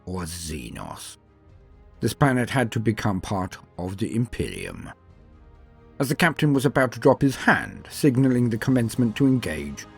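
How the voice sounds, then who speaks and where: Deep, husky man narrating with theatrical swells. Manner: theatrical, measured, solemn delivery with emphatic swells and falling endings. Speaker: narrator and host of a fan fiction lore channel. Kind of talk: dramatic scripted storytelling narration. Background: music.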